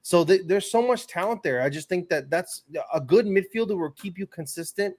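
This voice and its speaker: A man's voice: high-pitched, expressive, normal speed, a little mellow. A man co-hosting a casual soccer podcast, giving emphatic, slangy opinions in conversation. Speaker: podcast co-host and soccer pundit. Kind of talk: casual sports discussion.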